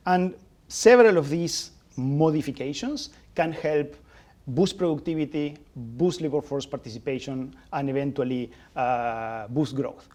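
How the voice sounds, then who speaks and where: A man presenting new economic research at a panel in a measured way, with small restarts. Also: medium pitch, darkish tone.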